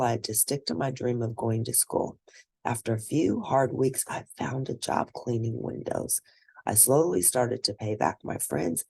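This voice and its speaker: A woman reading a scripted first-person story aloud, expressive and deliberate, with a husky, gravelly voice. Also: extremely low pitch, no echo. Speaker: read-aloud narrator.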